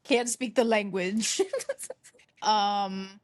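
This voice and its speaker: A woman's voice: medium pitch, fairly even intonation, very slow, dry recording, clean studio sound. A woman co-hosting a podcast, telling things casually with slang and dramatic exaggeration. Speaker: podcast co-host. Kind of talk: casual chat.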